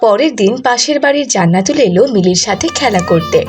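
A woman's voice: on the high side, lively intonation, fairly quick, over loud music. A woman giving scripted narration for a children's moral story.

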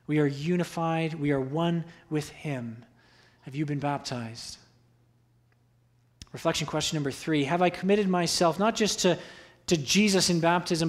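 Earnest young man preaching, slightly high. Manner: earnest, exhorting, repeats key phrases. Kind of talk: sermon. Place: church sanctuary at a pulpit. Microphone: pulpit microphone.

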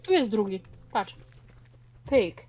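A woman chatting casually while playing a game with others, reacting out loud in short exclamations. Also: medium pitch, sing-song, takes it slowly, muffled recording. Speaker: player in a group gaming session. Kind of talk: casual gameplay chat.

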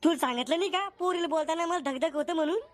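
A cheeky, lazy village schoolboy in a cartoon, as a voice actor plays him. He jokes and boasts in a high voice. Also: fast.

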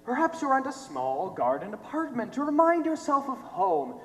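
Young man acting a high-pitched, mocking stage villain. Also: huge pitch swings, moderate pace, heavily acted. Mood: taunting. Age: young adult. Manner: theatrical, mocking. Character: sardonic, taunting showman, cruelly mocking tormentor. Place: theater stage, filmed from the audience. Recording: echoey theater stage, noisy hum.

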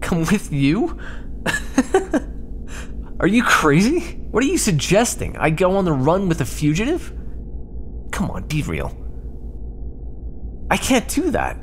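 A sarcastic, prickly starship crewman, voiced by a man in an ASMR roleplay. His pitch swings widely as he snaps and grumbles with exasperation. A faint ambient hum sits behind him. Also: wildly swooping pitch, exaggerated voice. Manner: sarcastic, exasperated, quick-tempered.

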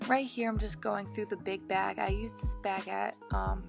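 Young woman, American accent, vocal fry, mid pitch, chatty, informal vlog voice-over, clear music bed behind. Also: level tone, moderate pace. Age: young adult. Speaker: vlogger. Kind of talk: casual voice-over narration of a daily routine.

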